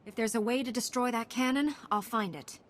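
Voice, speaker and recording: Steady heroic woman Jedi knight voiced by an actress. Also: on the high side, a little fast, lightly acted. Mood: determined, inquiring. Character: resolute young heroic female Jedi knight. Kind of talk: video game voice acting.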